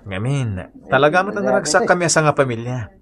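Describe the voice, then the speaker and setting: A man playing a cheerful, proud family-man husband in a radio comedy drama, earnest and expressive. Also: on the high side, brisk, a loud crowd, natural voice.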